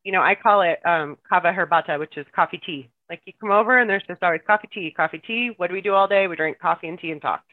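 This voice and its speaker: Heard first is a tinny, phone-like line with a dry, close sound. Over it, a guest raised in the United States talks through stories in a warm, reflective, conversational way. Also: medium pitch, fast, few pauses, dry recording. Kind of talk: casual podcast conversation.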